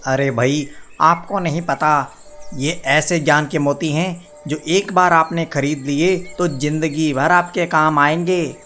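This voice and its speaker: A man plays a cartoon street hawker with a fairly high voice. He is persuasive and insistent, coaxing buyers along. Music plays faintly behind him. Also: steady tone, takes it slowly, sharp tone. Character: persuasive street hawker selling pearls of wisdom. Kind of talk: Hindi cartoon story dialogue.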